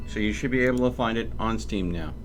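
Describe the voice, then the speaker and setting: A man's voice: fairly low pitch, quick pace, faint music behind. A man giving casual live-stream gameplay commentary, muttering his thoughts aloud in a self-deprecating way. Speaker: gaming streamer.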